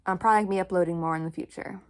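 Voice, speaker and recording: A woman's voice: lowish voice, fairly even intonation, racing. A woman narrating a children's bedtime story as she reads from a storybook. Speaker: storybook reader.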